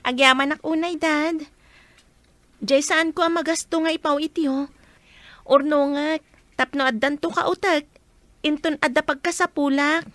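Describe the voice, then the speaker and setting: A woman acts a sweet young Filipino daughter in a radio drama. She sounds dutiful and affectionate. The voice is extremely high, in a dry recording with no room sound. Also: fairly even intonation, unhurried, long pauses, fairly bright tone, no echo.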